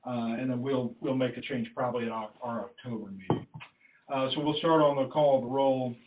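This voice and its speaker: A man speaking haltingly and politely as a staff official running a formal committee meeting on a conference call. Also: medium pitch, fairly even intonation, noisy background. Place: conference call, roomy sound. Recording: muffled, echoey conference call line.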